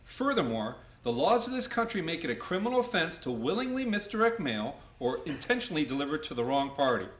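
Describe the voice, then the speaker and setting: A man as an insistent, animated defense lawyer. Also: a little high, fast talker, few pauses, reverberant. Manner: formal, insistent. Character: confident, persistent male defense lawyer. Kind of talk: radio play courtroom acting.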